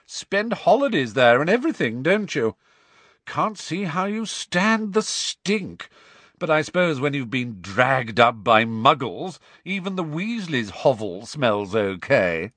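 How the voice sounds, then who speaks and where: A man's voice: lowish voice, normal speed, few pauses, dry recording, natural voice. A man voicing a spiteful, smug teenage schoolboy bully in an audiobook, with a taunting drawl. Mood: sneering, goading. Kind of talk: audiobook character voice.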